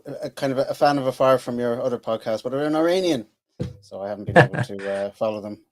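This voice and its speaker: A man's voice: mid-range voice, quick pace, darkish tone. A man in his forties with an Irish accent, telling his career story casually on a podcast, with many fillers and restarts. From Ireland. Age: 40s. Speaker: podcast guest, engineer.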